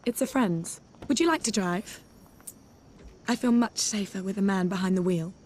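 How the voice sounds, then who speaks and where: A woman's voice: medium pitch, normal speed, darkish tone, lightly acted. A woman acting a flirtatious socialite secret agent in a video game, playful, arch and self-assured. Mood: flirty. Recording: music behind the dialogue.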